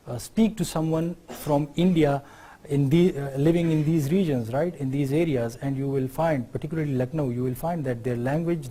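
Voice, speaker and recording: A middle-aged man with a Pakistani accent giving an unscripted university lecture, often pausing on fillers and checking in with "right?". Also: on the high side, dark tone. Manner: unscripted explaining, many fillers, tag questions like "right?".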